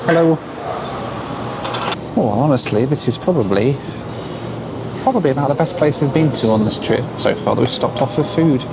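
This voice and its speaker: A man filming himself on a long-distance bus, hoarse and run down, talking in a tired, low-key, chatty way. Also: lively intonation, normal speed, pauses often, background noise. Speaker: travel vlogger. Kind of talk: travel vlog with voice-over narration. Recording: loud music under the voice.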